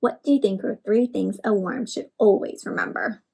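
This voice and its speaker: A woman's voice: medium pitch, moderate pace, vocal fry, darkish tone, husky. A woman hosting an interactive read-aloud for children, speaking expressively and instructively and often putting questions to her young listeners.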